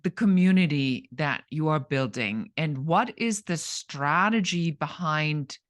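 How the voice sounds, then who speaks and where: A woman presenting and interviewing as a podcast host, phrasing things deliberately and addressing people directly. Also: deep voice, expressive.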